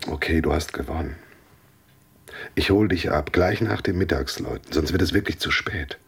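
Casting: irritable protector, radio drama, deep, heavily husky voice, blunt and curt. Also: male voice, normal speed, exaggerated voice. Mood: irritated, curt. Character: Jan, gruff, protective, irritable male ally. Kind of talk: German radio drama acting.

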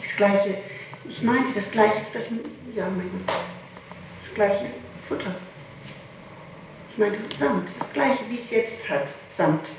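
A middle-aged woman answering questions in an interrogation, speaking haltingly, repeating herself and protesting. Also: medium pitch. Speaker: suspect under interrogation. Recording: echoey, distant, noisy archival 1960s tape.

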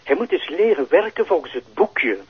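An irritable Flemish prosecutor with a husky, lively voice that swings up and down. He is a stickler for rules, in crime drama dialogue on a dull recording. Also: on the high side, normal speed. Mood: irritated. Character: irritable, by-the-book male public prosecutor. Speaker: actor playing a public prosecutor. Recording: muffled lo-fi audio.

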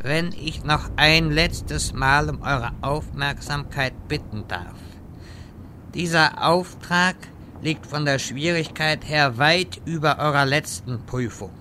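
Man acting a theatrical vain wizard, highish forceful voice, grandiloquent, radio-play parody, faint background noise. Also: slow. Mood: solemn. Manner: grandiloquent, stilted wording. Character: pompous, vain, theatrical male wizard quest-giver.

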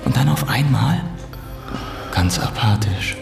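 An actor in a radio play as an anxious, confiding man speaking in a hushed, worried way. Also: mid-range voice, expressive, slow, vocal fry, full character voice. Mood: worried explaining. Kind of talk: radio play acting, dialogue. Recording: echoey room with music behind.

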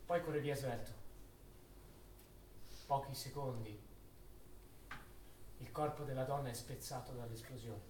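A man's voice: medium pitch, steady tone, measured pace, long pauses, slight background noise, lightly acted. An actor playing a solemn male chronicler of a wartime massacre, declaiming short, stark phrases in theatrical narration. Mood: solemn, grim. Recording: echoey distant stage recording with loud music.

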